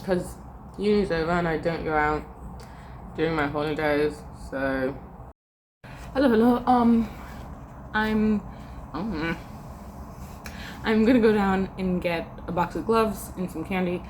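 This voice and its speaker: A woman's voice: on the low side, unhurried, pauses often, a little mellow, slight background noise, low-quality recording. Chatty young woman vlogging at home. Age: young adult. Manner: chatty and rambling, trailing off with "like". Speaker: university student vlogger. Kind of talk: casual vlog chat to camera.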